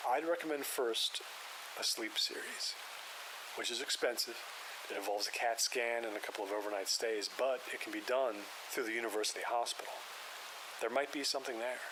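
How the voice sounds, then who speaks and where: A man playing a calm, skeptical paranormal investigator in an audio drama, explaining in a measured, clinical way. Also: medium pitch, natural voice. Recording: hiss and rain noise.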